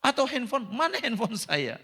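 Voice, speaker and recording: A man's voice: extremely high pitch, big pitch swings, moderate pace, sharp tone, breathy edge. A man preaching a sermon as pastor, speaking straight to the congregation, repeating his points and pushing certain words hard. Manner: repeats phrases, addresses congregation directly, emphatic rises. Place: church hall. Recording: long church-hall reverb.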